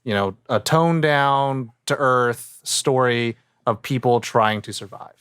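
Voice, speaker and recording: A man's voice: medium pitch, takes it slowly, a bit bright, clean tone, no room sound, clean studio sound. A man talking casually as a panelist on a podcast. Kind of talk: casual discussion.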